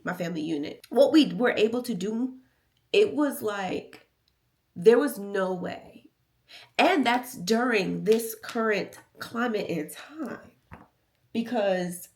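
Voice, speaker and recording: An American woman chatting casually and candidly to her vlog audience, playful and emphatic, with a slightly raspy, nasal voice. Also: medium pitch. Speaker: vlogger. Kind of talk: casual confessional vlog chat.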